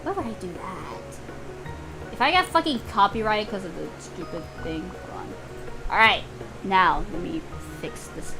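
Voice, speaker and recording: A woman's voice: medium pitch, expressive, a little slow, over music, poor audio. A woman doing casual live gameplay commentary in a playful, exclamatory way, swearing freely. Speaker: game streamer.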